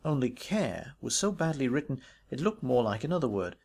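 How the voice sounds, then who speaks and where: Gravelly, husky male story reader. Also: mid-range voice, sing-song, moderate pace, darkish tone. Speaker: audiobook reader. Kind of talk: dramatic literary narration of a ghost story.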